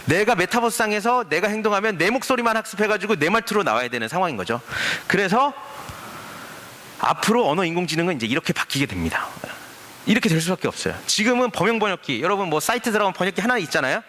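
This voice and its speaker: A man speaks at a forum, his fairly high, bright voice moving a lot in pitch. He explains step by step and poses rhetorical questions to the audience. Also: animated, fast talker. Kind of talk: conference presentation. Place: conference stage.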